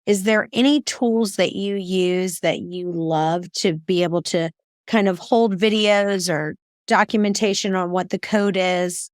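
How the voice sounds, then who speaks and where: A woman's voice: lowish voice, steady tone, unhurried, no echo, clean studio sound. A woman speaking conversationally as a podcast host interviewing a guest.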